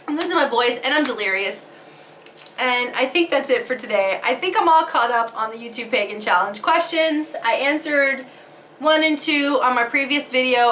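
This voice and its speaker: A woman's voice: a little high, sharp tone, slight background noise. A woman talking casually to camera, losing her voice from a head cold, laughing often and swearing casually. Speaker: vlogger.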